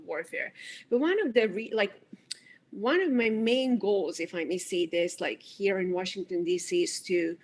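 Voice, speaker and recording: Lady explaining policy stuff, earnest, kinda halting, mid-pitched voice. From Serbia. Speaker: policy analyst guest. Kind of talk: interview answers.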